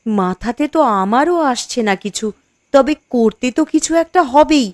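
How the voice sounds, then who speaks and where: A woman's voice: on the high side, animated, normal speed, no echo, studio quality, natural voice. A woman voicing a steadfast, supportive young wife in a cartoon story, with an earnest, reassuring manner. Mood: encouraging. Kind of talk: Bengali cartoon story dialogue.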